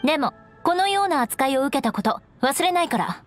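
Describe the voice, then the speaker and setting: A young noblewoman knight from an anime game with a high, expressively inflected voice. She is proud and stoic, speaking with measured formality and haughty composure over background music. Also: big pitch swings. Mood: cool, haughty. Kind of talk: Japanese anime game voice acting.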